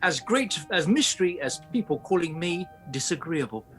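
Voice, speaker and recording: An English man acting a blustering, irascible Victorian gentleman playwright in a radio play. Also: sits high, big pitch swings, moderate pace, soft background music. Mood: mock wounded. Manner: blustering, theatrical.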